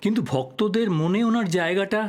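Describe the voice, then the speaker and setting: A man playing a thoughtful, polite writer probing a death in an audio drama, speaking in a measured, reflective way. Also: high voice, lightly acted. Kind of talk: Bengali audio drama acting.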